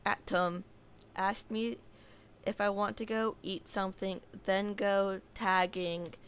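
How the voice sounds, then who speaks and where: Woman, story narrator, slow reading, smooth clear voice, casual asides. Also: medium pitch, level tone. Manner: unhurried reading with small slips and asides. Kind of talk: reading a submitted story aloud.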